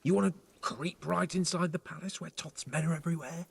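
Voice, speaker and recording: A man playing a rough, wary medieval companion in a game, with a raspy, gravelly voice. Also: fairly high pitch, steady tone, a little fast, full character voice. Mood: tense, urgent. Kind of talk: dramatic game cutscene acting.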